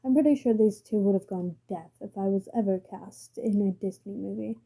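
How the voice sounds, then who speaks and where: A woman's voice: mid-range voice, normal speed, creaky, mellow, husky. A woman voicing a dramatic young male theater actor, in a storybook reading. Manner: theatrical, flamboyant.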